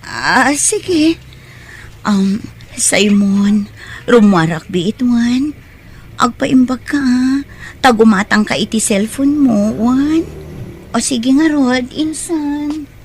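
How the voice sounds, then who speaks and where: A woman playing a scheming, money-minded aunt in an Ilocano audio drama, with an expressive theatrical delivery. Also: on the high side, slow pace, pauses often, slight background noise. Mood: nervous, sugary. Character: scheming, money-minded aunt feigning care for her nephew. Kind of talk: Ilocano radio drama acting.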